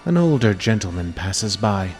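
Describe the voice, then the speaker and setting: A man giving a theatrical, menacing dramatic reading of horror narration, deliberate and at times dropping into whispers. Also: on the low side, very animated, a little mellow. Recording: long reverb over music. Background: music.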